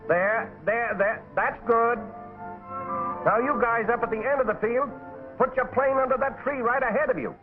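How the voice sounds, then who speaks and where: An American actor playing a small, brisk, cheerful animal supervisor in a 1940s military training cartoon, calling out folksy instructions. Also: male voice, extremely high pitch, steady tone. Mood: brisk, directing. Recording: tinny 1940s film soundtrack with music.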